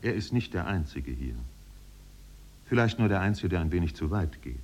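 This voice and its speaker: Husky, deep-voiced wry storyteller. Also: male voice, animated, moderate pace, darkish tone. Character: wry, poetic storyteller observing islanders. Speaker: narrator. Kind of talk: scripted radio drama narration, 1950s.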